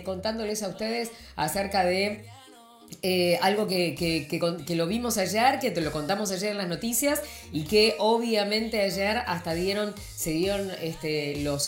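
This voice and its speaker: A woman's voice: mid-range voice, reverberant. Chatty Argentine woman hosting morning radio. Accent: Argentine Spanish. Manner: warm greeting, rambling with many fillers and restarts. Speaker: morning radio show host. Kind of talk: casual chatty radio talk. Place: radio studio.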